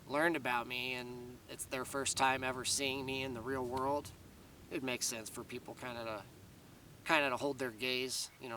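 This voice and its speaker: A man talks in a loose, meandering vlog monologue, circling back with restarts and "you know"s. His voice is bright and a little raspy, picked up from a few feet away with a faint hiss underneath. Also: mid-range voice, distant mic. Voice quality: slightly raspy after long talking. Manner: meandering, many fillers and restarts. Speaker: vlogger. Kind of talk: casual rambling monologue to camera.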